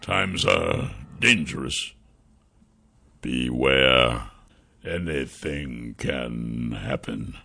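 A man's voice: extremely low pitch, lively intonation, creaky, pushed and bright, pitched below the performer's own voice, heavily acted. A man voicing a cursed elven king turned savage beast in a fantasy audiobook, throaty, grave and pained, forcing out ominous words. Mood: ominous warning. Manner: halting, forced, ominous words.

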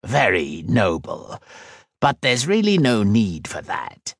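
A man doing a slow, husky, mocking creature. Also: fairly low pitch, huge pitch swings, dry recording, exaggerated voice. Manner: slow, drawn-out, silky menace. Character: sinister hooded creature, cool and mocking. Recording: dry close studio read.

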